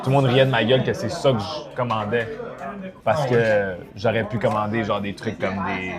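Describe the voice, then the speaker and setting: A man's voice: lowish voice, level tone, moderate pace, over a noisy crowd. A young man from Iran, chatting casually and mixing in English slang while tasting food. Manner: slangy, mixes English into his French. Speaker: streamer host.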